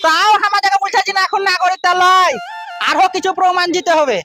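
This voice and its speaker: Guy doing grumpy old village man, rural west bengal accent, super high n fast, comedy skit. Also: steady tone, noisy background. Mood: weary grumbling. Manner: complaining, repetitive rhetorical questions. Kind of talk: comic social skit acting. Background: faint music.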